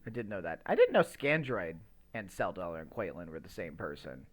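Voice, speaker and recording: A man's voice: sits low, very animated, brisk. A man hosting a casual podcast and chatting to a live stream, with a rambling, stammering delivery and loose, sweary language. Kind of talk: casual unscripted podcast chat.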